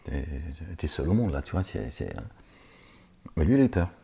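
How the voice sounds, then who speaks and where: Brief: man, low dark voice, reads scripture and digresses, sarcastic, hesitant. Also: sing-song, moderate pace, vocal fry, breathy edge. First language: not Arabic. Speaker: religious lecturer reading scripture. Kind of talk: scripture reading with spoken commentary.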